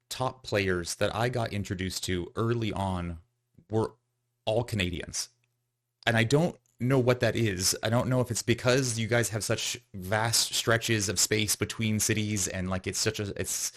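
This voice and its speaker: A man hosting a podcast, talking in a relaxed, friendly way with many fillers. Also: fairly low pitch, a little slow. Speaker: podcast host. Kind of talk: casual interview chat.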